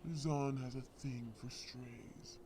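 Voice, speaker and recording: A man's voice: mid-range voice, fairly even intonation, takes it slowly, dark tone, slightly rough. A man playing a possessive, mock-sweet crime villain in an audio roleplay, taunting with theatrical politeness. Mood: coaxing, menacing. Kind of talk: scripted audio roleplay acting.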